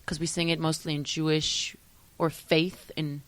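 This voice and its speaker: A young woman from Switzerland chats about her music in a relaxed interview. Her voice sits on the low side and sounds close and dry, with no room around it. She talks in an animated way, breaking off and restarting as she thinks. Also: unhurried, no echo. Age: young adult. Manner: animated, trails off and restarts often. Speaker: musician guest. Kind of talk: casual interview chat.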